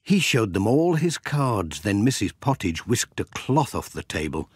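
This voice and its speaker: Guy reading a kids story, unhurried and really expressive, bit husky. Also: on the low side, no room sound. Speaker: audiobook narrator. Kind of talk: children's storybook narration.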